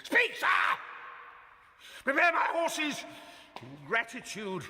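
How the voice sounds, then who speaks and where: A proud old king, declaiming in a classical radio drama with a high, piercingly forceful voice. He moves from murmurs to roars at a slow, weighty pace, and the sound rings in a long hall-like reverb. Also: very animated, exaggerated voice. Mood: furious. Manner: theatrical, declamatory, swinging from murmur to roar. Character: proud, aged king raging at his daughter.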